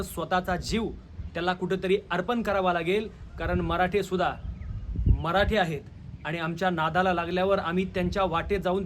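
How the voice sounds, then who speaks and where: A man with a high-set voice and a Maharashtrian Marathi accent gives opinion commentary to his audience. His delivery is rhetorical and confrontational. Slight background noise sits under the recording. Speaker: video commentator, channel host. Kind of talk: opinion commentary addressed to viewers.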